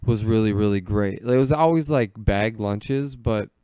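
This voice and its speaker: A man with an American accent talks in a low, clear voice with very little room sound. He tells stories casually and jokingly, leaning on "like" as he goes. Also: moderate pace, warm tone, dry recording, studio quality. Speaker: podcast speaker telling childhood stories. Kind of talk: casual comedic chat.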